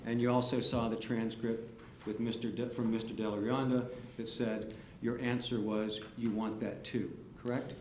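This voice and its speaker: A man's voice: mid-range voice, level tone, moderate pace, hardly any pauses, darkish tone. A man working as defense attorney, cross-examining a witness with methodical, leading yes-or-no questions. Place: courtroom. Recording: echoey courtroom, faint hiss.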